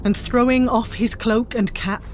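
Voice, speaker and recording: A woman giving measured, literary narration for a dramatised story. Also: on the high side, fairly even intonation, slight background noise.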